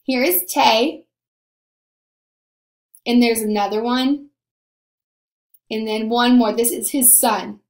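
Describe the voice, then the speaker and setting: A young woman teacher giving a recorded lesson to young children, explaining simply and deliberately, on a computer mic in a small room. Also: on the high side. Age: young adult. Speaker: elementary school teacher. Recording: roomy, echoing home recording.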